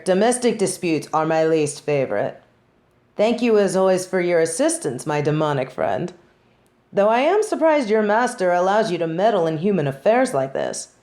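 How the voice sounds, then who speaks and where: A woman's voice: mid-range voice, a little mellow, natural voice. A woman playing a haughty, sardonic young female judge in a dramatic audio roleplay, speaking in formal, imperious, theatrical phrases. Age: young adult.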